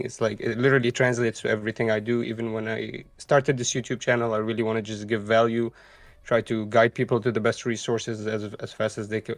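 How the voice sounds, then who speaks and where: A man's voice: medium pitch, dry recording. Guy from the uae as a guest commentator, mid voice, dry sound, casual and earnest, explains stuff at length. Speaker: guest commentator and content creator. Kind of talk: casual chat.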